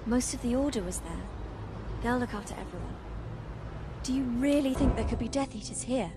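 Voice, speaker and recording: A woman's voice: a little high, lively intonation, a little fast, noisy background. A woman with an English accent playing an earnest, bossy, practical teenage witch on the run in a video game. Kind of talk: video game cutscene voice acting. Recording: music under the voice.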